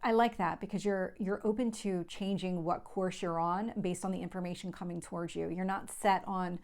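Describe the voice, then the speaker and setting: A woman's voice: on the low side, steady tone, normal speed, darkish tone. A woman with a slight lisp, giving a conversational tarot reading to viewers and explaining things in a reassuring way, often repeating points. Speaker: tarot reader, channel host.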